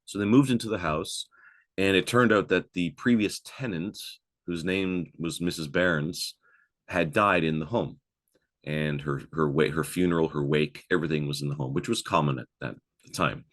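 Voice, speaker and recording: A man from Newfoundland with a soft Newfoundland accent and a trained, projected podcast voice, telling a family ghost story. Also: a bass voice, moderate pace. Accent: Newfoundland, softened by voice training. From Newfoundland, Canada. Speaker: podcast host. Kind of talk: casual podcast chat and storytelling.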